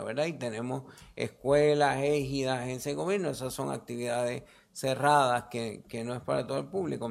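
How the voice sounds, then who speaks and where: Male health official, Puerto Rican accent, creaky mid-range voice, explanatory press-briefing answers with halting restarts. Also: medium pitch, a little mellow. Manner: halting, repeats words mid-sentence.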